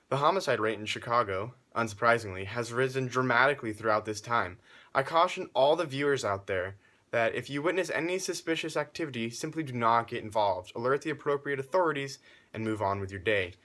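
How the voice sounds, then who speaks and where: A man's voice: medium pitch, brisk, fairly bright tone. Man presenting a formal scripted news segment. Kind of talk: scripted news report set in the 1920s.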